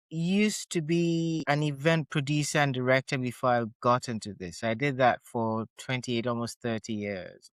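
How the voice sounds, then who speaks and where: A man's voice: mid-range voice, fairly bright tone, smooth, no echo. A middle-aged man, raised in Ghana, Switzerland and the UK, speaking as a guest touch therapist, telling his story in a reflective, explanatory way. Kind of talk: casual interview storytelling.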